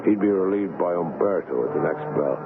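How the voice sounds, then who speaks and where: An actor playing an earnest, indignant young ship's doctor, grave in manner, acting and narrating in a vintage radio drama. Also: male voice, deep voice, brisk, noisy background. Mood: grave, reflective. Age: young adult. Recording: muffled old radio broadcast.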